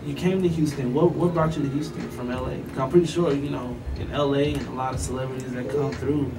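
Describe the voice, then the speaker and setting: A man's voice: medium pitch, fairly even intonation, fast. A man co-hosting a casual radio show, asking long, rambling questions with jokes mixed in. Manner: laid-back, joking, rambling questions. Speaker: radio co-host. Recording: distant mic with background noise.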